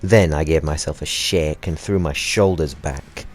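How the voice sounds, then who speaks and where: A British man with a low-pitched voice reads a story aloud in a loose, comedic way. He is cheeky and sweary, slipping out of the narration into chatty asides, with faint music behind him. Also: a little slow. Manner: sweary, cheeky, often breaking into laughter. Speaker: host of a gaming channel reading stories aloud.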